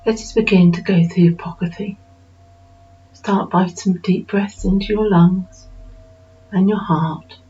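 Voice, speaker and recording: A woman narrating a guided meditation in a slow, soothing manner with unhurried pauses. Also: medium pitch, fairly even intonation, dark tone. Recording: dull lo-fi recording with music behind.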